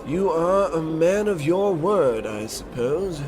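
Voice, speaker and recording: Man acting slow, deferential casino attendant. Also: fairly high pitch, lively intonation, background noise. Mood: dry, deferential. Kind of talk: mock old-time radio comedy acting.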